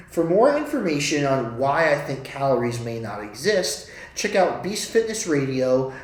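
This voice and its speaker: A man's voice: a little high, darkish tone, reverberant, rough recording. A man reading his own training manual aloud as scripted narration, in formal, academic language.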